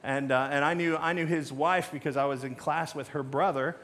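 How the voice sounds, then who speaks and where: A middle-aged American man with a slightly high voice addresses his church as its pastor. He talks informally and conversationally. He leans on anecdotes and small jokes. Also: steady tone, a little fast, a bit bright. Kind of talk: informal sermon talk to congregation. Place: church stage.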